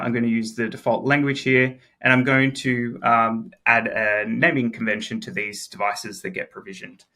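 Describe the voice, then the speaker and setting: Bright-voiced Australian man demoing software. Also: mid-range voice. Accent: Australian English. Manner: chatty, frequent "uh" and "um" fillers, repeats words. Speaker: product expert giving a demo. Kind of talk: casual technical demo walkthrough.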